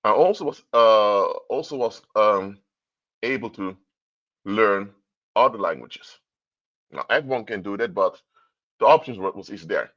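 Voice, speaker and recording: A man's voice: lowish voice, expressive, unhurried, pauses often, dull audio. A man from Curaçao, giving a livestream talk in a lecturing tone full of rhetorical questions. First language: Papiamento. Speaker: commentator. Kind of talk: livestream commentary.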